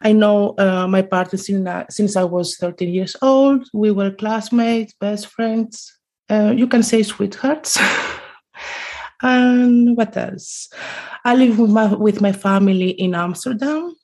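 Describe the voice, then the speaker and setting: Podcast guest, female, early 40s, Greek accent, slow and gravelly, casual halting delivery. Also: mid-range voice, a little mellow. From Greece. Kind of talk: casual conversational self-introduction.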